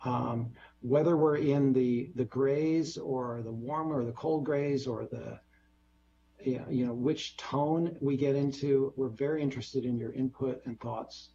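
A man presenting a bridge design on a video call, explaining in a measured way with many fillers. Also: mid-range voice, fairly even intonation, dark tone, slightly rough, lo-fi sound.

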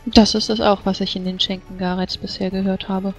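Woman, flat even intonation, measured role-play dialogue, dull lo-fi sound, music behind. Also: medium pitch. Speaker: tabletop role-playing player. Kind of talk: improvised in-character dialogue in a tabletop game.

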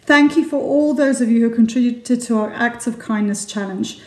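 High-voiced woman in an echoey hall. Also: normal speed, a little mellow. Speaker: school teacher presenting assembly announcements. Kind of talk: prepared announcements to camera. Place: large indoor hallway, camera at close range. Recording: echoey room.

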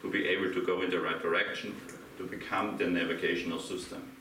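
A man's voice: deep voice, flat delivery, fast, bright, forceful tone. A middle-aged man from Austria with a German accent, giving an interactive conference talk and questioning his audience. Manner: asks the audience questions, laughs lightly. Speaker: conference speaker. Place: dim hotel conference room, small audience. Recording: echoey room from far off, faint hiss.

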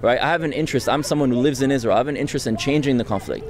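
A young man from France speaks fast and urgently in an outdoor street interview, pressing his points with a repeated "right?". His voice sits at a middle pitch and is picked up close on a handheld mic, with traffic faintly around. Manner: fast, insistent, argumentative, frequent tag "right?". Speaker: interviewee, student activist. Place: outdoors on a city campus street. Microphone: handheld mic held close by interviewer.

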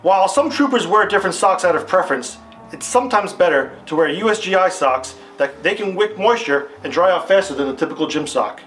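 A man acts out an upbeat, authoritative army captain in a retro training film. His American voice sits a bit high and is formal and didactic, with guitar music playing behind it. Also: lively intonation, brisk, fairly bright tone, rough recording. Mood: instructive, assured. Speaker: instructor in a military training film. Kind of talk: scripted retro instructional film delivery. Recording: music under the voice. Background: background guitar music.

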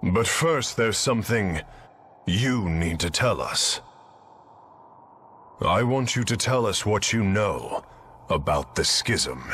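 A stern, imposing king in a video game. His very deep voice delivers weighty commands at a slow pace. Faint ambience sits behind him. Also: lively intonation, a bit bright, a little hiss or hum. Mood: commanding. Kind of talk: English video game dub acting.

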